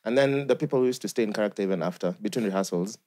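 A man's voice: on the low side, rapid-fire, darkish tone. A young man from Kenya, a podcast co-host, telling stories and laughing easily, mixing English and Swahili. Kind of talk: casual podcast chat. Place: podcast studio.

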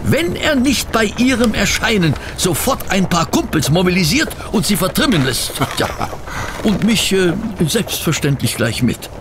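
An actor playing a fussy, incredulous gentleman sidekick in a radio play, sputtering and exclaiming indignant questions. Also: sits high, big pitch swings, a little slow, noisy background. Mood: amused disbelief. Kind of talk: German radio play acting.

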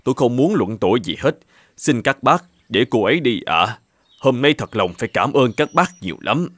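Man acting a kind merchant, widely swinging pitch. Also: sing-song, normal speed, no room sound. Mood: gentle, grateful. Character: kind middle-aged travelling merchant, honest and soft-hearted. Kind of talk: animated folk tale dubbing.

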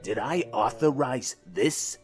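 A man playing a gruff, scornful Prohibition-era crime boss in an audio drama, commanding and indignant. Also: mid-range voice, animated, slow pace, faint music behind.

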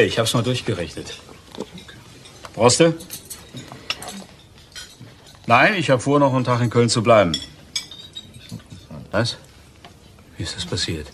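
A man acting a composed businessman in a radio crime play. His low voice is clipped and controlled, with faint noise in the background. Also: fairly bright tone, close to an everyday voice. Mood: businesslike. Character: controlled businessman, newly widowed husband.

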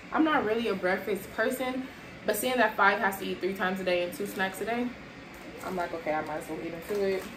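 A young woman talks casually to her viewers, calling them y'all as she moves around a small kitchen. Her voice sits at a middle pitch and comes from a camera across the room, so the room echoes. Steady background noise runs underneath. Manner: chatty, addresses viewers as y'all, moving around while unpacking bags. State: tired and sweaty. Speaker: vlogger showing a grocery haul. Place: small apartment kitchen, indoors. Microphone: camera at a distance across the room.

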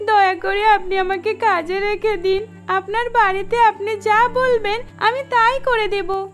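A woman's voice: extremely high pitch, fairly even intonation, measured pace, fairly bright tone. A woman voicing an anxious, dutiful twelve-year-old orphaned eldest sister in a cartoon, with a plaintive, earnest manner. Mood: pleading. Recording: music under the voice.

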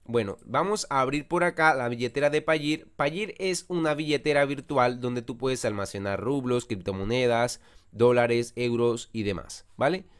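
A young man hosting a screen-recorded tutorial, talking the viewer through each step in a chatty way and often checking in with a quick question. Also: fairly high pitch, fairly bright tone. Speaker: channel host.

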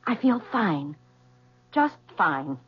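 A middle-aged mother, guarded and wounded, in a vintage radio drama. Her husky voice rises and falls expressively on a muffled recording. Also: on the low side, very animated, very slow, exaggerated voice. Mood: guarded, strained. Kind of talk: 1970s radio drama acting.

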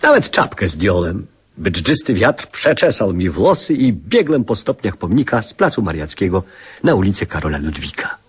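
A reflective older writer narrating a literary radio drama. His low voice is very husky, rising and falling widely as he lyrically recalls his youth. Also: very animated, moderate pace, heavily acted. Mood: nostalgic, vivid.

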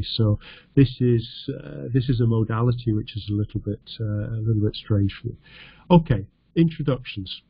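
A middle-aged man from North Yorkshire, teaching an online lesson over slides in a halting, conversational way with a self-deprecating humour. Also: on the low side, slightly rough, dry recording, highs cut off. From North Yorkshire, England. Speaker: English teacher.

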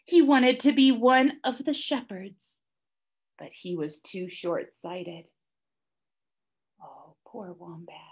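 Young woman reading a story, very expressive. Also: medium pitch, takes it slowly, pauses often, slightly rough. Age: young adult. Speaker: teacher reading aloud. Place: small classroom, indoors, by a whiteboard.

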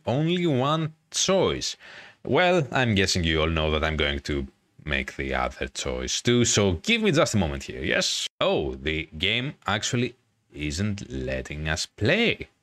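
A man's voice: deep voice, huge pitch swings, unhurried, a bit bright, no echo. A man hosting a gaming video, giving commentary on a visual novel playthrough. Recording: music behind the voice. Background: game music.

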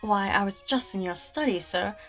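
An actress playing a respectful, eager secretary to a bishop in an audio drama. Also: female voice, medium pitch, moderate pace, faint music behind, lightly acted. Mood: eager, deferential. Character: deferential female secretary to a bishop. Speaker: actor. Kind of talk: radio-play style drama acting.

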